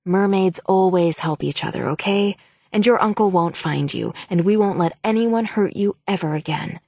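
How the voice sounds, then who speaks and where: A woman's voice: medium pitch, normal speed, a little mellow, no echo, natural voice. A woman voicing a nervous, thin teenage mermaid girl who speaks earnestly and protectively. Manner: murmuring, earnest.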